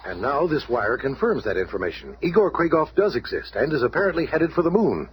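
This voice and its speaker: An actor playing an earnest, authoritative scientist who leads superheroes, explaining formally in a 1970s radio drama. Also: male voice, medium pitch, sing-song, a little fast, dull audio, close to an everyday voice. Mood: grave. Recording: old radio recording, faint noise.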